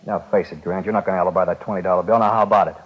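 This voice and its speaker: A tough American male detective, blunt and very quick with his words. His lowish voice comes through a muffled 1950s radio drama recording. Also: fairly even intonation, slightly rough. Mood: stern. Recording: dull vintage radio recording.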